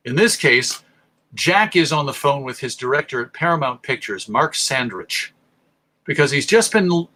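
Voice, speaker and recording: An American man presenting a history talk, telling it emphatically and deliberately, with dramatic pauses. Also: a little high, pushed and bright. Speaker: presenter, radio show host.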